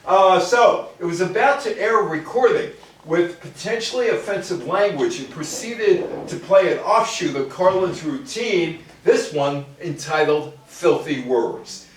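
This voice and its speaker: A man giving a public talk from notes in a halting manner, with asides and rhetorical questions. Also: high-pitched, expressive. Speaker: lecturer. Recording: very echoey room, distant microphone.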